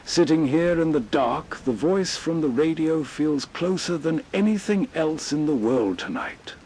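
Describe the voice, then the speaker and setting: A man narrates in a soft, soothing way with a breathy voice. He sits slightly higher than usual and keeps an unhurried pace. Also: expressive.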